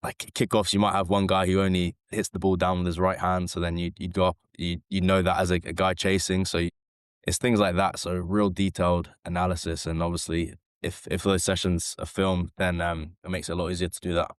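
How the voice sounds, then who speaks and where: A man, a former rugby sevens player, talking casually as a podcast guest in a relaxed, rambling way with lots of fillers. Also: deep voice, brisk, clear, resonant tone, no room sound, clean studio sound. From England.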